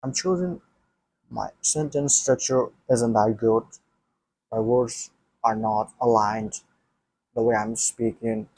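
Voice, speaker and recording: Man, non-native speaker, husky, mid pitch, casual solo talk, repeats himself. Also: takes it slowly, pauses often, a little mellow, poor audio. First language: not English. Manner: thinking aloud with many restarts and repeats. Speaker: language learner.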